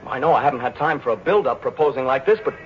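An actor playing a brash, impulsive, cynical suitor with a blunt, clipped manner in a 1940s radio drama. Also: male voice, mid-range voice, lively intonation, racing, lightly acted. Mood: angry, impatient. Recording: dull, faintly noisy 1940s radio recording.

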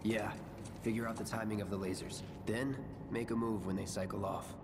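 An actor playing a cool, aloof young mercenary swordsman in a video game, speaking tersely and deadpan. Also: on the low side, moderate pace, gravelly, in a large hall, noisy background. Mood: matter-of-fact. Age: young adult. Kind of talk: dramatic video game voice acting.

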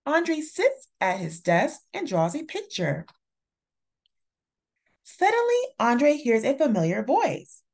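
Slow, lively woman reading to children. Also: sits high, gravelly. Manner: slow, deliberate, expressive delivery for young children. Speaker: children's story reader and channel host. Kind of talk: read-aloud picture book narration.

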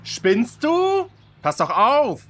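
Angry father, scolding, accusatory, slow emphatic delivery, sharp tone, children's audio drama. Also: very high voice, huge pitch swings, heavily acted. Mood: angry, indignant. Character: angry, bullying well-off father in a suit.

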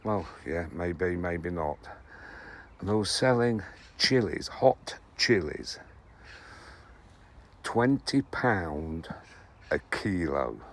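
A man's voice: deep voice, lively intonation, extremely slow, long pauses. A man in his sixties from England chatting casually and rambling about his allotment harvest, often correcting himself. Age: 60s. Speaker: allotment gardening vlogger. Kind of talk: casual chat to camera. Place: allotment greenhouses and plots, partly outdoors.

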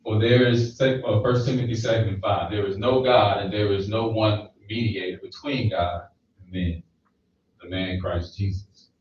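A man's voice: on the low side, steady tone, normal speed, a little mellow, slight background noise. Man, thirties, conversational preacher, handheld mic, very echoey hall, distant, dull sound. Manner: conversational preaching with frequent "right?" checks. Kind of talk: sermon. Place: small church hall with stage. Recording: echoey, distant, slightly dull room recording.

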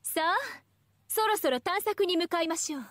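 A woman's voice: extremely high pitch, animated, normal speed, a bit bright, no echo, studio quality. An actress voicing a proud, elegant young goddess in an anime game, with pompous, ornate ladylike speech. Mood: confident, gracious.

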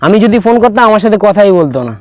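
Slangy high-voiced man, fast talker. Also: animated, darkish tone, dry recording. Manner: slangy, blunt. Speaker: prank video host. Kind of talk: casual commentary to viewers. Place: open field outdoors.

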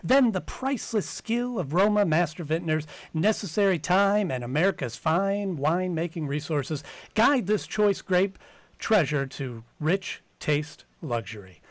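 A man's voice: high-pitched, animated, unhurried, no echo. An older man announcing in old-time radio style, delivering formal, emphatic sponsor reads from a home office. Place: home office, on a video call.

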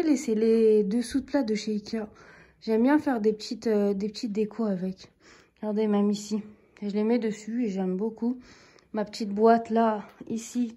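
A woman's voice: on the high side, a little mellow. A woman in her thirties giving a casual, chatty tour of her living room, filming with a handheld phone and adding friendly asides to viewers. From Turkish heritage, living in France. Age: 30s. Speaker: home vlogger. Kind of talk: casual home tour narration. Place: apartment living room, indoors. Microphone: handheld phone camera, speaker off screen.

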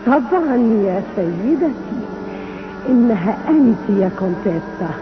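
An actress voicing a magic talking mirror, a servile oracle, in a dubbed cartoon. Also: female voice, medium pitch, lively intonation, moderate pace, noisy background, lightly acted. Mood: solemn, hesitant. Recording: tinny old dub, music behind.

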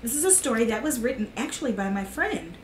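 A woman's voice: fairly high pitch, very animated, fast talker. A middle-aged woman hosting a children's storytime, speaking to young listeners and their parents. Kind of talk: reading a picture book aloud to children. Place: small living room on a sofa, indoors. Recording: echoey room with faint hiss.

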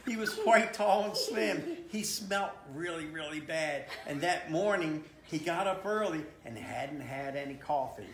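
A man's voice: fairly high pitch, animated, unhurried, hardly any pauses. A man in his sixties reading a storybook aloud to a child in a playful, rhythmic way. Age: 60s. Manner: playful, rhythmic delivery with exaggerated donkey brays. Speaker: grandfather reading a picture book. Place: carpeted living room, on a couch. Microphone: phone camera held across the room. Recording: echoey, noisy home phone video.

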